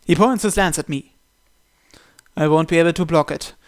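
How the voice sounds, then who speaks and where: A man with a fairly high voice narrates a story in the first person. He reads expressively and dramatically, with the odd stumble, and the sound is dry with little room echo. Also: moderate pace. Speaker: video game player reading a visual novel aloud. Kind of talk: dramatic read-aloud narration.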